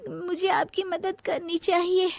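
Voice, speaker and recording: A woman's voice: very high voice, little pitch movement, unhurried, creaky, no room sound, studio quality, pitched above the performer's own voice, heavily acted. A woman voicing a sly, lazy younger daughter-in-law in a children's cartoon story, with theatrical whining complaints. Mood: feigned weakness.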